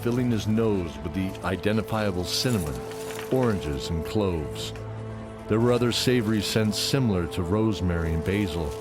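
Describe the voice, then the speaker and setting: A man narrates a fantasy story in a scripted, dramatic style. His voice is very low, and music plays clearly behind him.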